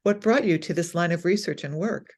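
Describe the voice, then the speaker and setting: A woman's voice: lowish voice, fairly quick, darkish tone. A woman host introducing a guest author and asking interview questions in a friendly manner.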